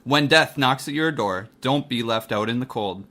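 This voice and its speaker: An actor playing an earnest radio drama announcer, delivering a sponsor read in an old-time style. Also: male voice, medium pitch, expressive, normal speed, pushed and bright. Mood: earnest pitch. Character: earnest male radio-mystery announcer pitching life insurance.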